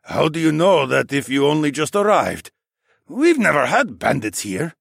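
A man's voice: fairly high pitch, sing-song, normal speed, creaky, fairly bright tone, breathy edge, dry recording, studio quality, voice pushed up, heavily acted. A man voicing a formal, courteous nobleman of a fantasy council in an audiobook, matter-of-fact in manner. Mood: sceptical.

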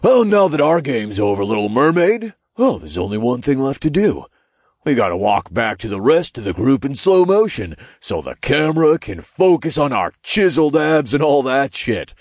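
A man voicing a tiny, crude male imp in an audiobook, loud, slangy and obnoxious. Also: medium pitch, big pitch swings, moderate pace, dry recording, clean studio sound, voice pushed up. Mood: cocky.

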